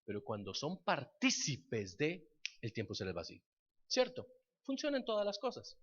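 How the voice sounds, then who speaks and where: Guy preaching hard, repeats himself, swings from loud to low, kinda muffled audio. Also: huge pitch swings, normal speed, slightly rough. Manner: fervent, repetitive preaching, builds to loud climaxes. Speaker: pastor. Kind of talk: sermon ending in prayer.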